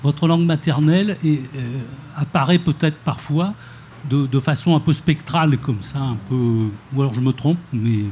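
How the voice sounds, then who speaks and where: A man in the audience asking a writer a measured, searching question, sometimes stumbling over his words. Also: on the high side, mellow, a little hiss or hum.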